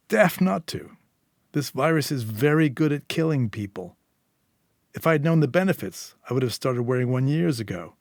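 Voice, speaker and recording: A man voicing a menacing, coolly confident underworld fixer in a story, deliberate, commanding and sardonic. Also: medium pitch, a little mellow, dry recording, studio quality.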